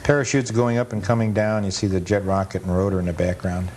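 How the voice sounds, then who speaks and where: A man's voice: fairly low pitch, little pitch movement, fast talker, darkish tone, a little hiss or hum. A man giving casual, matter-of-fact but enthusiastic commentary as a guest historian. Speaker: guest amusement park historian. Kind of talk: casual slide-show commentary.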